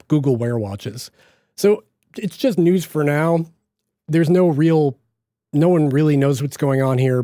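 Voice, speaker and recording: Young guy w a bit of boston accent, rambling podcast chat, warm mellow voice, dry close sound. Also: mid-range voice, no room sound. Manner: chatty, rambling, self-correcting. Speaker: podcast host. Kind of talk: casual solo podcast monologue.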